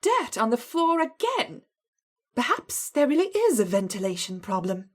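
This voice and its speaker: High-voiced, exasperated lab scientist. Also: female voice, big pitch swings. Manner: indignant, brisk, questioning. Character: stern, exasperated female laboratory scientist.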